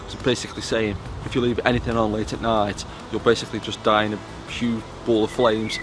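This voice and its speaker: A man's voice: fairly low pitch, level tone, a little fast, background noise. A British man giving casual, chatty commentary to viewers as a vlogger, telling stories informally. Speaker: vlogger presenting old public information films.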